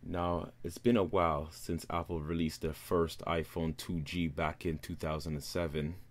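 A man, casually talking viewers through a phone unboxing in a relaxed, upbeat way. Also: deep voice, moderate pace. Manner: relaxed, upbeat presenter addressing viewers as guys. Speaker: tech reviewer.

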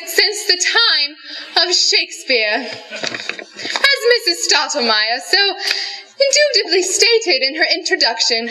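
A woman's voice: sits high, bright, forceful tone, heavily acted, low-quality recording. A young woman playing a pompous, genteel society lady in a comic stage monologue, with affected, flowery diction. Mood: gushing, grand. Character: pompous, genteel society lady, self-regarding amateur singer. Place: school theatre stage before an audience. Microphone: vintage-style stand microphone.

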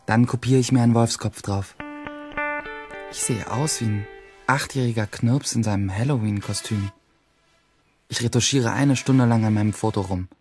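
A man's voice: mid-range voice, normal speed, pauses often, a little mellow, faint music behind, lightly acted. Grandiose teenage nerd boy, played by a man. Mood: scheming, boastful. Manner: self-aggrandizing, dramatic. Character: self-inventing teenage boy nerd, grandiose, self-mocking loner. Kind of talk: first-person radio play monologue.

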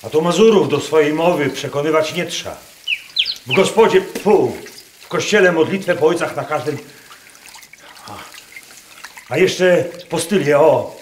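Slow, pious peasant man, roomy barn. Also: fairly high pitch, expressive. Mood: fervent preaching. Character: troubled Masurian peasant preacher, pious, brooding, indignant. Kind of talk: dialect radio drama acting. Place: wooden barn performance space. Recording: roomy barn, noisy with water clicks.

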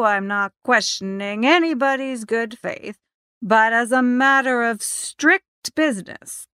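A woman's voice: on the high side, sharp tone, dry recording, clean studio sound. A woman voicing a stout, suspicious American businessman in a story, blunt and insistent. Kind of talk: audiobook character reading.

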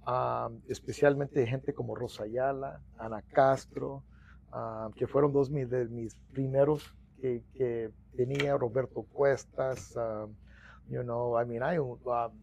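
A man's voice: medium pitch, takes it slowly, gravelly, slight background noise, poor audio. A middle-aged Mexican-American man speaking Spanish with English mixed in, telling long stories as a union organizer, with many restarts, on a lapel mic in a small office. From United States. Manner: mixes English into Spanish, many restarts, animated hand gestures. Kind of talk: storytelling interview.

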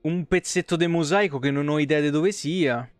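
A man streams a game in a fairly high, smooth voice. He talks colloquially, grumbles and swears freely, recorded close and dry. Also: moderate pace, no echo, faint music behind. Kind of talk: casual live game commentary. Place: small indoor room.

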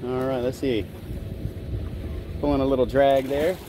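A man's voice: medium pitch, flat delivery, measured pace. A middle-aged American man giving casual, folksy running commentary as host of a fishing vlog. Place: outdoors on a small boat on open water. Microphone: handheld camera or phone. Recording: noisy outdoor boat and water sound.

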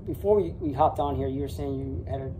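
A man's voice: mid-range voice, fast. A man hosting an interview, asking short friendly questions. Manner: brief friendly prompts and questions. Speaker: interviewer host. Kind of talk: casual interview. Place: indoor lobby seating area. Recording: distant voice, room noise.

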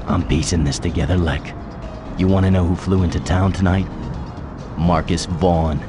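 Male actor as hard undercover detective, deep voice, laconic, cool, game cutscene, music behind. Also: steady tone, moderate pace, lightly acted. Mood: terse, cool. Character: terse, tough undercover male police detective. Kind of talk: video game cutscene acting.

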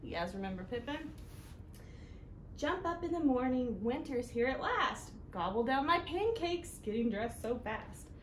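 A woman hosting a children's storytime, reading aloud in an animated, sing-song way that speaks directly to little listeners. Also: high voice, a little slow. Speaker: children's storytime host at a library. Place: living room indoors, seated on a couch. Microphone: camera a few feet away. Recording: roomy home sound with noticeable background noise.

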